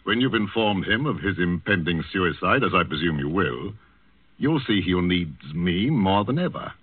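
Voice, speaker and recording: A man's voice: deep voice, expressive, moderate pace, slightly rough, no room sound, phone-quality sound. A man acting an urbane, unflappable psychiatrist with a sardonic, slyly defiant manner in a 1940s radio drama. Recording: thin, band-limited old radio recording.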